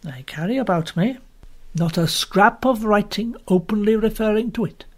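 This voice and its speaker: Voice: mid-range voice, moderate pace, voice pushed up, lightly acted. A reader as a discreet, formal old banker. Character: elderly male banker, cautious and formal. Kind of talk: audiobook character voice.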